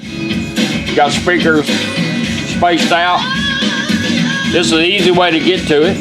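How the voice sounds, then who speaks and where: A man's voice: on the high side, steady tone, slow pace, poor audio. A man giving an informal, folksy hands-on product demonstration, explaining each step in an instructional way. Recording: music playing behind the voice.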